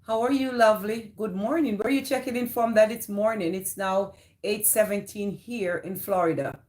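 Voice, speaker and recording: A woman's voice: mid-range voice, normal speed, darkish tone. Jamaican woman hosting a chatty livestream. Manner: greets viewers by name, friendly and chatty. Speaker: livestream host. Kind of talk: casual live chat reading viewer comments.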